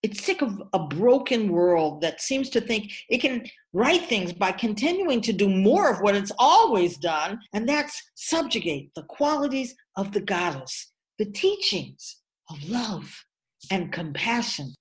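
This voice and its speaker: A woman playing an otherworldly feminine spirit in a radio drama, speaking in a deliberate, emphatic, oratorical way, grave and candid. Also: medium pitch, big pitch swings. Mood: weary, indignant. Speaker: spirit guide being interviewed. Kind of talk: radio drama monologue, reflective.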